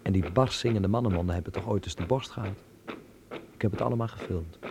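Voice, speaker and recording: Low, gravelly young Dutch filmmaker. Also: male voice. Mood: musing, wry. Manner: reflective, ironic, measured. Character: young Dutch amateur filmmaker in Berlin, wry, observant. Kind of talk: radio drama acting and letter narration. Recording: noisy old radio play recording.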